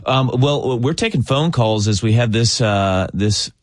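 A man's voice: medium pitch, lively intonation, unhurried, dry recording, clean studio sound. A man hosting a radio talk show, conversational with hesitant restarts. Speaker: radio host. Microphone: studio microphone.

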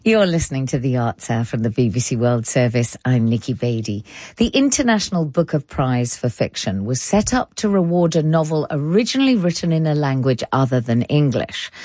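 Low-voiced woman radio presenter. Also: a little mellow, no room sound, studio quality. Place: radio studio.

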